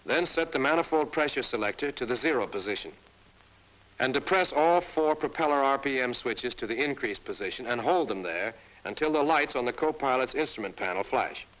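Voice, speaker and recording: A man giving scripted instructional narration for a military training film, talking to the viewer directly as "you" in a brisk way. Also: mid-range voice, animated, a bit bright, lo-fi sound.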